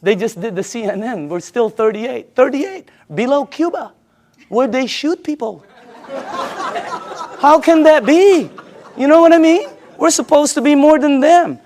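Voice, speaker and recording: A woman's voice: high-pitched, lively intonation, moderate pace, darkish tone. A woman from the Philippines giving an informal sales presentation, emphatic and repetitive, often putting rhetorical questions to her listeners.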